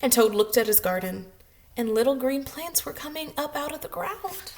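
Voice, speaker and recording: A woman's voice: high voice, expressive, normal speed, a little mellow. A woman preschool teacher hosting story time, speaking directly to young children as she greets them and reads.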